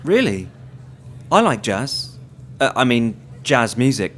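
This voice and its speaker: A man's voice: medium pitch, sing-song, takes it slowly, fairly bright tone, slight background noise. A man playing a smitten, bookish young retail worker in an audio drama, hesitant and earnest. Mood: awkward, smitten.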